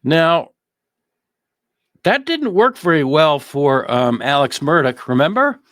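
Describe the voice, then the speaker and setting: A man's voice: a little high, very animated, takes it slowly, a bit bright, no room sound, clean studio sound. A man hosting conversational legal commentary on a criminal trial, repeating phrases for emphasis. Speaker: host of a legal commentary show.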